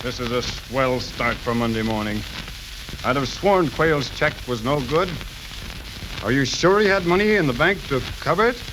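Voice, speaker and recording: A man's voice: medium pitch, expressive, normal speed, natural voice. A man playing an anxious, easily flustered businessman in a 1930s radio comedy. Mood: worried. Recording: crackly, hissy 1930s radio recording.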